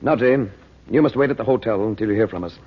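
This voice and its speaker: A man playing a composed, commanding magician uncle in an old radio serial, with a measured, protective and authoritative way of speaking. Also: on the low side, slightly rough, phone-quality sound. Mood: firm, controlled.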